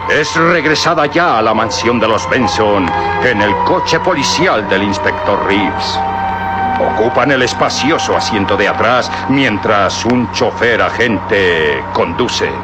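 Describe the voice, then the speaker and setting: A man giving measured, dramatic narration for a radio drama. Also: lowish voice, expressive. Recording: narration over loud music.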